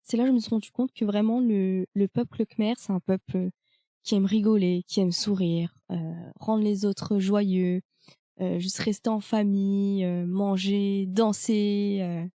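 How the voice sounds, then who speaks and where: Young french woman, mellow voice, lots of ums and pauses, dry close mic. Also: medium pitch, fairly even intonation, no room sound. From France. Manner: hesitant, many fillers. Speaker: guest. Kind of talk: casual conversation.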